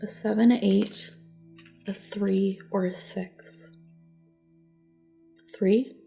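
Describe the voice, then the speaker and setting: A woman speaks in a soft, dark, relaxed ASMR voice that often slips into a whisper. Her delivery is gentle and reassuring, with a noticeable creak as she gives unhurried instructions like a calm examiner. Music plays behind her. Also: medium pitch. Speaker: ASMR roleplayer as a test examiner. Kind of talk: soft-spoken ASMR personal attention roleplay.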